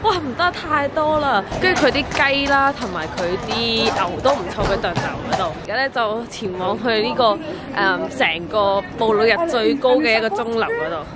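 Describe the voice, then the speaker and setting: A woman's voice: fairly high pitch, lively intonation, moderate pace, hardly any pauses, over loud music, over a noisy crowd. A young woman with a Hong Kong Cantonese accent, chatting upbeat to a handheld camera while walking through an old town. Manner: chatty, upbeat, lots of filler particles. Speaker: travel vlogger. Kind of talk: casual travel vlog to camera. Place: outdoors in old town streets and squares. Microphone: camera held at arm's length.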